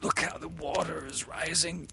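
A man voicing a wounded boy hero in a storybook reading, speaking softly and wearily. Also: a little high, fairly even intonation, normal speed, slight background noise, voice pushed up. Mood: weak. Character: wounded boy hero, weak but gallant.

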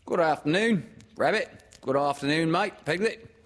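Man doing a high, fussy kangaroo mum. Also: fairly bright tone, in a large hall. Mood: cheery greeting. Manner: brisk, fretful, motherly. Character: fussy, distracted mother kangaroo with her baby.

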